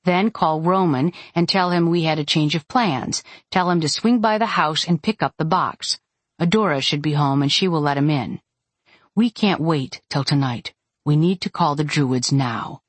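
A woman's voice: low-pitched, normal speed, husky, dry recording. A woman voicing an adult woman fighter in an audiobook, fierce, urgent and impatient, giving terse, emphatic commands. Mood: urgent, commanding. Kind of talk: first-person audiobook character acting.

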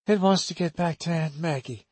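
A young woman, resolute and secretive, speaks in a hushed voice that turns sharp when pressed, as a male narrator puts her on. The voice sits a little higher than a usual man's. The recording is dry and close. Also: moderate pace, breathy edge, no echo. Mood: hushed, urgent. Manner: soft, hushed, then sharp and urgent.

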